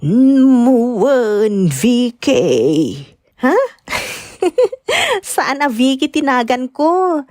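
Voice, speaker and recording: A woman's voice: sits high, very animated, drawn-out words, darkish tone, dry recording, clean studio sound, exaggerated voice. An actress performing a tender family scene between an elderly grandmother and her granddaughter in an Ilocano radio drama. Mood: confused, tearful.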